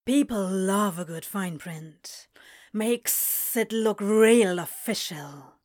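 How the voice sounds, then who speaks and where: A woman's voice: mid-range voice, animated, takes it slowly, darkish tone, no room sound, studio quality. A woman voicing a sly, hissing male serpent con artist in an audio drama, with a persuasive manner. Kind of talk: audio fiction character acting.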